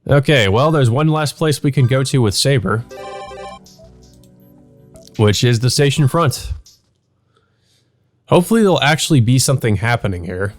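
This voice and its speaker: A man's voice: medium pitch, animated, moderate pace, pauses often. A man narrating a visual novel playthrough, reading the text aloud and adding casual commentary. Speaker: let's play narrator. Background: background music.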